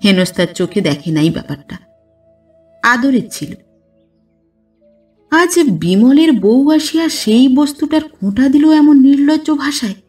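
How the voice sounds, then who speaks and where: A woman reading a classic literary story as an audio narrator, in a measured, expressive storytelling manner. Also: high voice, far from the mic. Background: background music.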